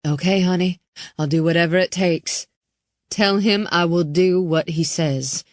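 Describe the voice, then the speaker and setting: A policewoman and mother, keeping her voice low and steady while urgency pushes through, read by a woman narrator. Her tone sits on the lower side, and the sound is dry and close. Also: unhurried, no room sound, lightly acted. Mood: urgent calm. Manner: urgent, forcing calm.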